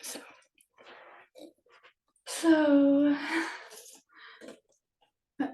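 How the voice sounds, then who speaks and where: A woman chatting casually as host of an online book promotion, hesitant and halting, often trailing off. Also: high voice, little pitch movement, warm tone. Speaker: author hosting a promotional chat. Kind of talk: casual video chat. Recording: echoey room, distant from mic.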